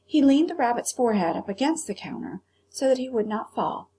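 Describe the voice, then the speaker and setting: A woman's voice: a little high, lively intonation, brisk, dark tone, slightly rough. A woman teacher narrating a children's novel as a read-aloud.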